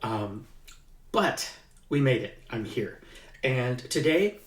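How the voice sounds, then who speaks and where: A man reads his own novel aloud at a slow, deliberate pace. His voice sits mid-range, heard in a reverberant room from a mic set some way off. He pauses with fillers when chatting and puts more emphasis into the tense passages. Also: mid-range voice, sing-song. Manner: unhurried, with halting fillers in the chat and livelier emphasis in the reading. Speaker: author. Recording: echoey room, mic at a distance.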